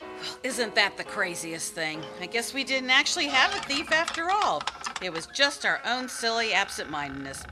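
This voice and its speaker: A woman playing a brash, boastful small-town Western girl in an amateur radio play, excitable and bossy. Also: a little high, moderate pace. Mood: sheepish. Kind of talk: amateur old-time radio play acting. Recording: music playing behind the voice.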